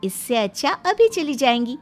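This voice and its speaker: Woman doing innocent young bahu, polite n earnest but clever, highish voice, story music behind. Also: very animated, moderate pace, a little mellow. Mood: mock innocent. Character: sweet, innocent, shrewd young daughter-in-law.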